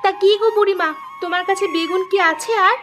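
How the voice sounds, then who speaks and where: A woman's voice: very high voice, fast, music in the background. A woman voicing a nosy, chatty village neighbor in a cartoon, with a familiar, prying manner. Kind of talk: Bengali cartoon voice acting.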